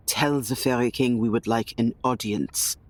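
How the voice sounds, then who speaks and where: A man's voice: medium pitch, fairly even intonation, moderate pace, no echo. Male roleplayer, middle register, improvised in-character talk and action description, close dry recording. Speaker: tabletop game player.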